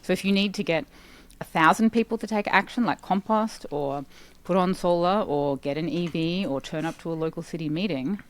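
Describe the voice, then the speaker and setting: An Australian woman in her forties, a guest talking through an interview in an animated, chatty way full of "like" fillers. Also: lowish voice, fairly quick. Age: 40s. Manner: animated, talkative, with frequent "like" fillers. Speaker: guest, sustainability expert. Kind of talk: casual podcast interview.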